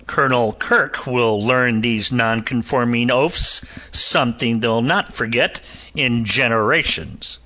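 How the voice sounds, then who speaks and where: A man voicing a blustering English army captain with a heavy, hectoring tone, shouting and sneering. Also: medium pitch, slow pace. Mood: mocking.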